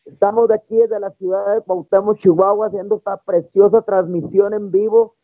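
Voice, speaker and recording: Young guy preaching on a livestream, really high voice, halting, sounds like a tinny phone line. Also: flat delivery, dry recording. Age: 20s. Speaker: preacher hosting a live church broadcast. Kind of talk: informal theological talk. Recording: tinny, muffled phone-like live stream.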